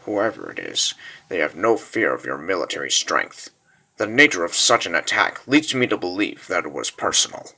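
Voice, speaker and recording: A man playing a sinister, calculating military spymaster in a fantasy comic dub, speaking in a measured, insinuating hush. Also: wildly swooping pitch, creaky, full character voice. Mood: grave, measured. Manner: measured, insinuating, low and hushed.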